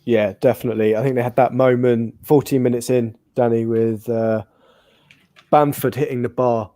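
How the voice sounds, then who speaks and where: A man's voice: medium pitch, fairly even intonation, normal speed, warm tone. A man with a Northern English accent hosting a football podcast, leading the talk in an easygoing way. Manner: easygoing, leads the conversation. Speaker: podcast host. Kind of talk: casual football chat.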